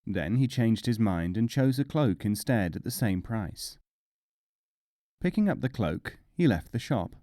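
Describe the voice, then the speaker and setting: A man reads humorous folk tales for an audiobook in a measured, expressive way. His voice is mellow and moves freely in pitch, recorded very dry and close. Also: no echo. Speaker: audiobook narrator. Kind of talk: scripted storybook narration of humorous folk tales.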